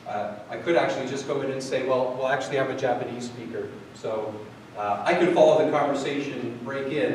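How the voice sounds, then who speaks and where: A man's voice: mid-range voice, a little mellow. A man giving a live product demonstration, rambling with many fillers and restarts. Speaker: product demo presenter. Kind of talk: live tech demo, casual. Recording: echoey hall from far off, noisy.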